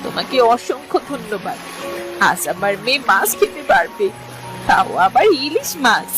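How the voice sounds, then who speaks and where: A woman's voice: fairly high pitch, sing-song, normal speed, music in the background. A woman voicing a poor, caring village mother in a cartoon, with a homely, exclamatory way of talking. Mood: amazed, urging. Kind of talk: cartoon voice acting.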